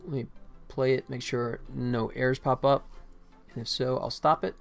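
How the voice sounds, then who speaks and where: A man's voice: medium pitch, fairly even intonation, normal speed, creaky, faint music behind. Rambling middle-aged man, casual livestreamer. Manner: rambling, self-deprecating, jokey. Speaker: indie game developer livestreamer. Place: indoor room at a computer. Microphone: desktop microphone.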